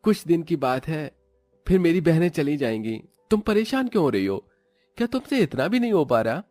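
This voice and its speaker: An irritated cartoon husband acted by a man, his pitch pushed up. He is dismissive and reproachful, with faint music behind. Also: big pitch swings, brisk, a little mellow, dry recording. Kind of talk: cartoon moral story dialogue.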